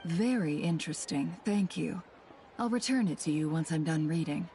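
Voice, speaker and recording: A woman voicing a poised, shrewd female information broker in a video game, composed and smooth-talking. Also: low-pitched, expressive, normal speed, warm tone, faint music behind.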